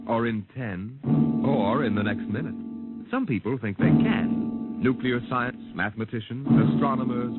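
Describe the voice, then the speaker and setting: A man narrating a 1950s radio drama in a dramatic, measured announcer style. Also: lowish voice. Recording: lo-fi old radio broadcast over loud music.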